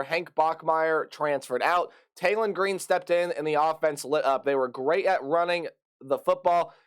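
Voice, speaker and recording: A young man with a slightly raised pitch runs through a college football preview in a chatty, upbeat way. His voice is hoarse and a bit rough from a lingering cold, yet it stays smooth and clear underneath. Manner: chatty, upbeat, rattles off player names and stats. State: hoarse, getting over an illness. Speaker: sports prediction channel host.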